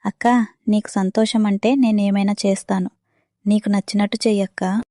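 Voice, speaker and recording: Young cartoon wife, devoted and earnest: female actor, soft-edged mellow tone, little pitch movement, dry sound. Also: steady tone, normal speed, dry recording, natural voice. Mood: devoted, reluctant. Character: devoted, easily hurt young village second wife. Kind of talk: children's moral cartoon voice acting.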